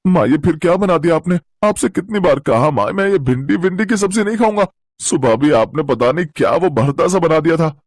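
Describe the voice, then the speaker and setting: Cartoon spoiled city boy, whiny braggart adult male performer; husky, swinging pitch, dry sound. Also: lively intonation, a little fast, no echo. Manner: bratty, complaining. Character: greedy, whiny, boastful chubby city boy.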